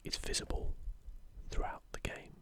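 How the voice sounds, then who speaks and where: Whispering man doing soft ASMR. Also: moderate pace. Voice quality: soft, breathy unvoiced whisper. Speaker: gaming ASMR video creator. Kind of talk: whispered ASMR, casual commentary with passages read aloud.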